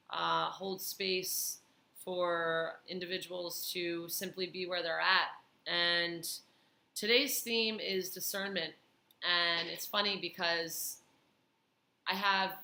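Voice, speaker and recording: Slow, gravelly-voiced woman vlogger. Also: lowish voice, bright, forceful tone, echoey room, slight background noise. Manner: slow, thoughtful, with many pauses and fillers. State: tired. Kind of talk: reflective personal vlog.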